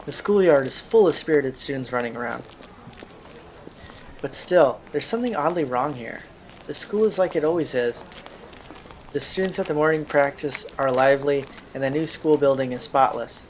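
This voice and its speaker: A man's voice: a little high, normal speed, slight background noise, low-quality recording. A man hosting a let's-play, reading a visual novel's narration aloud. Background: game music.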